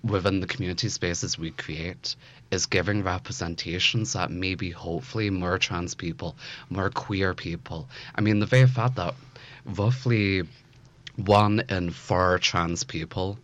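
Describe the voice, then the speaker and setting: Low male-sounding voice, mid-twenties, Belfast accent, earnest interview guest, emphatic repeated phrasing. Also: fairly even intonation, unhurried, a bit bright, muffled recording. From West Belfast, Northern Ireland. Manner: emphatic, rhetorical repetition.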